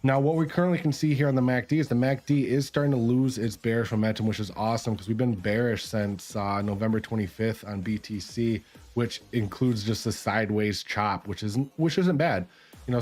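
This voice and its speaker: A man getting over a cold, with a raspy voice, casually walking viewers through crypto charts on a live stream. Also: medium pitch, darkish tone. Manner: casual, explanatory, many fillers.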